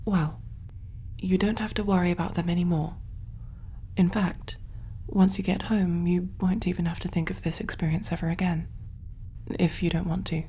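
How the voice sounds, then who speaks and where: A woman voicing a kind, calm alien medic in a soft, breathy, reassuring ASMR roleplay style. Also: fairly low pitch, a little hiss or hum. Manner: gentle, hushed, reassuring, often near whisper.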